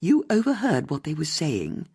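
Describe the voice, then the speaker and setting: An older English lady detective voiced by an audiobook narrator, nosy and sharp. She fires pointed, probing questions in a very animated voice that leaps about in pitch. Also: moderate pace, creaky, slightly rough, exaggerated voice. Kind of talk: audiobook character dialogue.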